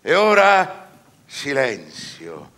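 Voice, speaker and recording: An actor playing a proud, learned scholar haunted by damnation, declaiming solemnly in a stage verse tragedy. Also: male voice, mid-range voice, wildly swooping pitch, vocal fry, pushed and bright, full character voice. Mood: solemn, hushed. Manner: solemn, measured, theatrical declamation. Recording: music under the voice.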